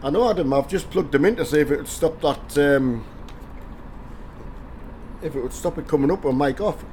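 An older man from the north of England, hoarse from a cold, chatting casually on a game live stream and greeting viewers by name through a headset mic. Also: fairly high pitch, moderate pace, darkish tone, a little hiss or hum. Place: home gaming room with driving rig.

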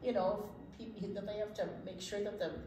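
An older woman co-hosting a children's storytime, chatting and reading aloud in simple, expressive, emphatic phrases. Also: mid-range voice, moderate pace. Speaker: teacher, children's storytime co-host. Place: indoor library storytime set, seated. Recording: roomy echo, faint background noise.

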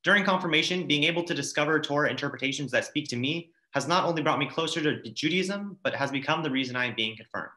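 A teenage male confirmand reads a prepared speech in a bright, forward voice. The sound is echoey, like a video call from a room at home. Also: fairly high pitch, fast, hardly any pauses. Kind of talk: prepared speech read aloud. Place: home dining room, indoors. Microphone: computer mic on a video call.

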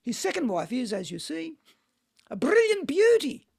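A man's voice: very high voice, huge pitch swings, normal speed, creaky, full character voice. A man reading the part of a bitter, envious, sardonic middle-aged man in an amateur stage play. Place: at home on a video call. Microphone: video call microphone.

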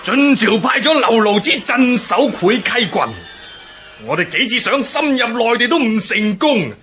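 An actor playing a brooding, ambitious rebel warlord with theatrical force in a historical radio drama. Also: male voice, very high voice, lively intonation, unhurried, over music. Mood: frustrated.

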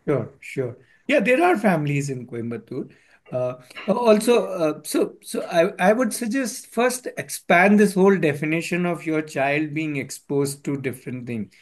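An earnest man hosts an online meetup from a room at home. His voice sits somewhat high, and he repeats phrases and slips into Hindi as he makes his points. He is heard through a headset on a video call. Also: expressive, a little slow. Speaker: meetup host. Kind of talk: casual video-call discussion.

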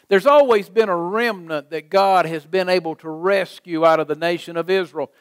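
A middle-aged American man preaching a sermon as a pastor, speaking in an emphatic, deliberate manner. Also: high voice, dry recording. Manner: emphatic, deliberate, with rhetorical questions and repetition. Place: church sanctuary, preaching from a lectern.

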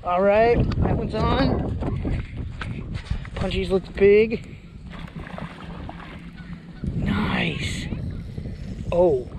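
A young man with a high, light voice chats cheerfully as he fishes, narrating straight to a body-worn camera. Wind and steady outdoor noise sit clearly under his voice. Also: drawn-out words, long pauses. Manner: upbeat, chatty, laughs often. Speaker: fishing vlogger. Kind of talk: casual outdoor vlog narration. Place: outdoors on a lakeshore. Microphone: body-worn action camera.

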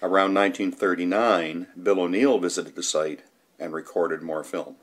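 A man's voice: low voice, steady tone, normal speed. A man reading scripted documentary narration, including old newspaper reports.

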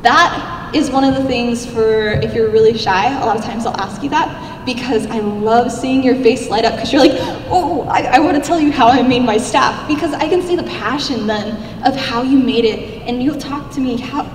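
A young woman with a squeaky little voice giving an upbeat, chatty convention panel talk, speaking into a handheld mic in a large hall. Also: normal speed, background noise. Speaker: cosplay contest judge giving a convention panel. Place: large convention hall, seated at a stage table. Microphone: handheld mic, filmed by a far camera. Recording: echoey hall, recorded from far off.

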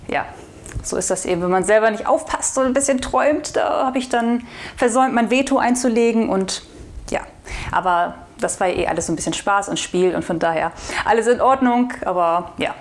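A young woman hosting a regional newspaper's weekly video roundup, talking in a chatty, relaxed way before reading short news items, recorded in a studio on a lapel mic. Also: mid-range voice. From northwestern Germany. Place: studio in front of a blue backdrop.

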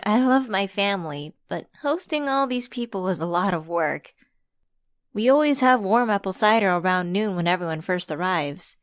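A woman's voice: medium pitch, clear, resonant tone, dry recording, studio quality, lightly acted. A woman playing a flustered, friendly stranded driver in an ASMR audio roleplay, chatty and a little hesitant. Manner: hesitant, chatty, expressive with sighs and giggles. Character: flustered, friendly woman locked out of her car at Christmas. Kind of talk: ASMR roleplay acting, one-sided conversation.

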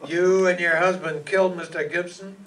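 A man's voice: high voice, fairly even intonation, moderate pace, a bit bright. Older man playing a wry hardboiled detective, distant mic. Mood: confident. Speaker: amateur radio play actor. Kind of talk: hardboiled radio detective narration and dialogue. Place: small hall stage, indoors. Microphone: stand microphone, recorded by far camera. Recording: noisy, distant hall PA recording.

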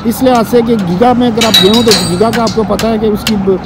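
A man talks buyers into investing as he walks a building site and then a busy mall. The recording has a phone-camera feel, with clear site and street noise behind him. He sounds salesy and eager. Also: high voice, fairly even intonation, a little fast. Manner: salesy, persuasive. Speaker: real estate marketer. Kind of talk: walking property tour commentary. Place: outdoors at a construction site, then inside a shopping mall.

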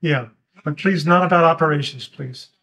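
Male board commissioner, slightly high voice, polite and firm. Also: moderate pace, fairly bright tone. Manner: polite but firm, cutting off off-topic comment.

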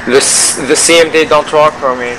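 A man chatting casually and excitedly with friends on voice chat while playing an online game. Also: on the high side, moderate pace, noisy background. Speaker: gamer in an online roleplay game. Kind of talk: casual voice-chat during gameplay. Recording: game voice chat under loud music. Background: loud music, game gunfire and vehicles.

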